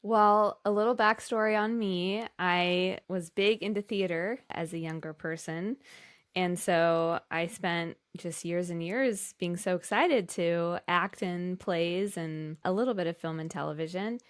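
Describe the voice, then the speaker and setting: A woman's voice: lowish voice, unhurried, clear, resonant tone. A middle-aged woman telling a personal story casually on a podcast, in an informal, emphatic way. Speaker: podcast panelist.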